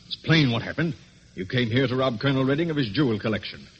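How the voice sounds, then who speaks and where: An actor playing a stern, skeptical police inspector in a radio drama, blunt and authoritative. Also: male voice, mid-range voice, animated, fast, lightly acted. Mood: accusing, skeptical. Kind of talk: old radio drama acting. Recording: dull lo-fi radio recording, faint hiss.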